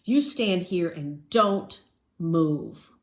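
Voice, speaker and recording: A woman's voice: lowish voice, lively intonation, drawn-out words, heavily acted. A woman voicing an exasperated, protective storybook father in an emphatic, scolding way.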